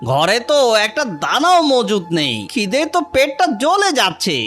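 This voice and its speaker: A performer voicing an excitable male bird in a children's cartoon fable, with dramatic, drawn-out exclamations. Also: mid-range voice, faint music behind. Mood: miserable, surprised. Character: excitable male bird neighbour, crow or parrot.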